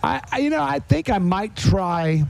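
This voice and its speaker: A man's voice: high voice, sing-song, moderate pace, creaky, no echo. A middle-aged man hosting a casual chat, talking in an effusive, rambling way. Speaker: podcast host. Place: on location at a garage.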